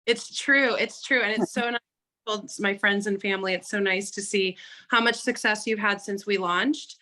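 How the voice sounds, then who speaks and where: A woman's voice: mid-range voice, fairly even intonation, moderate pace, pushed and bright, poor audio. A woman hosting an online interview in a chatty, encouraging, conversational way. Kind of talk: casual interview chat.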